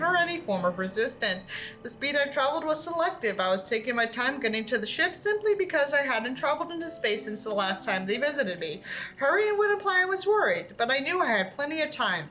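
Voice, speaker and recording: A woman's voice: a little high, rough recording. A woman reading a book aloud as an online creator, with an irreverent, exasperated manner and casual, sweary asides.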